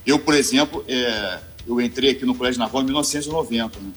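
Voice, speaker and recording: Measured formal man. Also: medium pitch, fairly bright tone, faint music behind. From Niterói, Brazil. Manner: measured, formal, fills pauses with constant "né". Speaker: naval college commander, guest. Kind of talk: interview answers.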